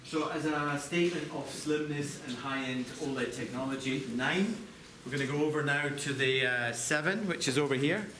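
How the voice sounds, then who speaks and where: A man presenting product design live to a group, explaining in a halting way with corporate phrasing. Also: a little high, bright, forceful tone. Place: large echoey indoor venue, apparently a hotel. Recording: echoey noisy room, distant mic.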